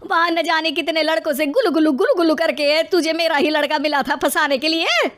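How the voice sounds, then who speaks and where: A woman playing a domineering, high-voiced mother-in-law. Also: brisk, fairly bright tone. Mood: outraged. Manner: scolding, taunting, theatrical. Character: domineering, sharp-tongued middle-aged Indian mother-in-law. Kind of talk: acted moral story dialogue.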